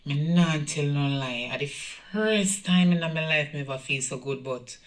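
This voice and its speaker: A man's voice: on the high side, normal speed, no echo, close to an everyday voice. A man with a Jamaican accent playing a brazen middle-aged mother in a domestic drama, insistent and repeating the same phrases. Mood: tender, regretful. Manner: repetitive, insistent.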